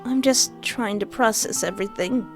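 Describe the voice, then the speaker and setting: A woman's voice: medium pitch, moderate pace, over music. A young woman reading a visual novel aloud and breaking in with playful, joking asides. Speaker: game streamer.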